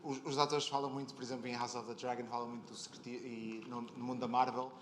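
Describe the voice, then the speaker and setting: A man's voice: medium pitch, level tone, fast talker, a bit bright. Portuguese man hosting in a roomy, noisy space. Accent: European Portuguese. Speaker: host, interviewer. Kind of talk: casual live on-stage interview. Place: live stage at a comic convention. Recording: roomy echo, clear convention hall noise.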